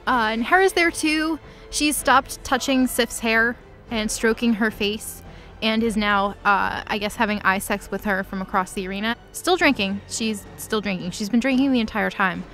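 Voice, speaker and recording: A woman's voice: fairly high pitch, normal speed, a bit bright. A woman telling a story in a casual, comedic way, with slangy, sarcastic, playful delivery. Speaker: co-host recapping a fantasy story. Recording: music under the voice.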